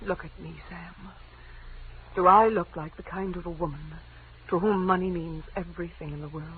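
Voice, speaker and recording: An actress playing a pleading, evasive wife and femme fatale in a 1940s radio detective drama, with a breathy, halting, emotional delivery. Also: female voice, lowish voice, steady tone. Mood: soft, intimate. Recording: muffled, band-limited old radio recording.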